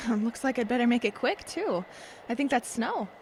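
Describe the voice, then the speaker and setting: An actress playing a weary, gentle young mother in an audio drama, tender and hesitant. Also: on the high side, lively intonation, a little slow, slight background noise. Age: young adult. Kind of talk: audio drama character acting.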